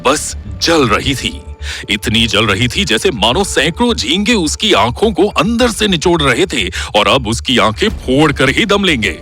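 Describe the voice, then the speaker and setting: A male storyteller delivers scripted horror narration with dramatic suspense. His mid-pitched voice is bright and forceful, moving very slowly over a music bed. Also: big pitch swings.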